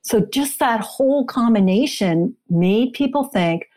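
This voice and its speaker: A woman's voice: fairly high pitch, expressive, unhurried, dark tone. A woman speaking as an interviewed author, explaining and retelling in a conversational style.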